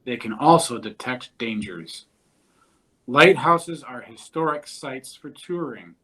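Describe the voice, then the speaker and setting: A man reading a children's nonfiction book aloud in a measured, deliberate way. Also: medium pitch, expressive, a bit bright, low-quality recording.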